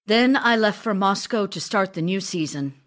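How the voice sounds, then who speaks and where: An actress playing a devoted, anxious wife in an audio drama, voicing letters to her absent husband in an intimate, earnest, expressive way. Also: medium pitch, normal speed, natural voice. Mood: narrating, reflective. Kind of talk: audio drama acting, reading love letters aloud.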